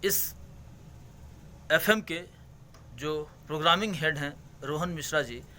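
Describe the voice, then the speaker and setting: A man, working as a news reporter, asking formal questions with frequent hesitant pauses. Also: a little high, bright, forceful tone, slight background noise. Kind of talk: news interview.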